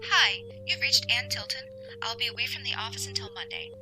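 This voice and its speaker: A woman's voice: on the high side, animated, brisk, natural voice. Noisy voicemail greeting, lilting woman actor. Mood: polite, businesslike. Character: polite office woman's recorded voicemail greeting. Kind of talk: recorded greeting in audio drama. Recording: clearly noisy answering-machine greeting.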